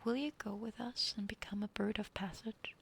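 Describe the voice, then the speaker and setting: A woman reading a fairy tale aloud in a soft whisper, pausing now and then to apologize. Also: mid-range voice, steady tone, gravelly, husky. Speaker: reader.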